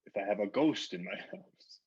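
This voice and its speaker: A muffled call-in recording of a man with a fairly low, husky voice. He speaks haltingly and tentatively, as a casual caller to a phone-in show. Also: animated, darkish tone. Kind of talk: hesitant casual phone chat.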